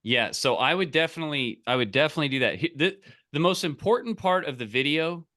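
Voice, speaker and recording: A man's voice: fairly high pitch, lively intonation, quick pace, bright, forceful tone. A man coaching on a call in a casual, emphatic and direct way, salesy and often checking in with the listener. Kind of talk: casual coaching, explaining and giving example scripts.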